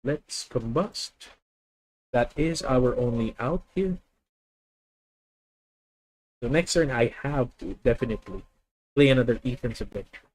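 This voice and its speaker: A man with a Filipino accent talking through his plays on a live stream. He reasons out loud in a methodical way, often stopping to correct himself mid-sentence. His voice sits at an ordinary middle pitch. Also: a little slow, long pauses, poor audio. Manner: thinking aloud, methodical, self-correcting. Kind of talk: live deck-test commentary.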